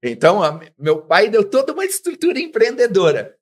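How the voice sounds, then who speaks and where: A middle-aged man, a business guest in an interview, telling his life story with lively acted-out dialogue. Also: sits high, brisk. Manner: animated storyteller, acts out quoted dialogue.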